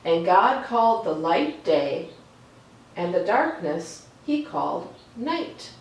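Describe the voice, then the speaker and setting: A woman reading aloud for a children's storytime in a slow, deliberate, expressive way. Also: lowish voice. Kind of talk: read-aloud storytime with chatty asides. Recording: echoey room, distant mic, faint noise.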